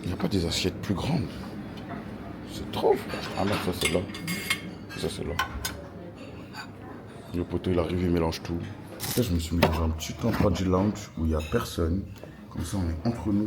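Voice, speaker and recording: A man in his twenties with a French accent talks casually to a handheld camera while wandering an airport lounge. His voice sits very low, and he keeps calling out to his viewers in loose slang. Constant crowd and ambient noise from the airport fills in behind him. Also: brisk. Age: 20s. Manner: slangy, chatty, addresses viewers as "les gars". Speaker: vlogger. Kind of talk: casual vlog talk to camera.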